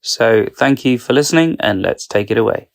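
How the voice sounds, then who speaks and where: A man hosting, giving a casual episode introduction in a halting, deliberate way. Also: medium pitch, expressive. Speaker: host.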